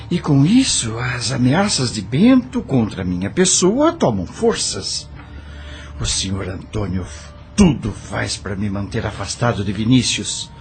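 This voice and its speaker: Actor as troubled, determined father with lively intonation. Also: lowish voice. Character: troubled widowed doctor, father determined to protect his children. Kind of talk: audio novel dramatic acting.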